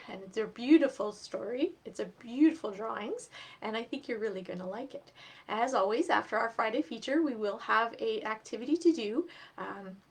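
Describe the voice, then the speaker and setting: High-voiced woman hosting children's storytime. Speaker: storytime host and reader. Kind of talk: live children's storytime reading.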